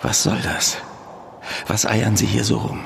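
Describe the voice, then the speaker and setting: An actor playing a stern, irritated police superior in a fiction podcast, brusque and accusatory. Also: male voice, on the low side, level tone, measured pace. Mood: angry, accusing. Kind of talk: naturalistic fiction podcast acting in German. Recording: reverberant room with clear background noise.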